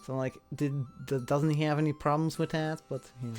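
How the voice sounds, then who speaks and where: A man interviewing someone in casual chat, speaking haltingly and repeating words as he goes. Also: on the high side, level tone, a little mellow, faint music behind.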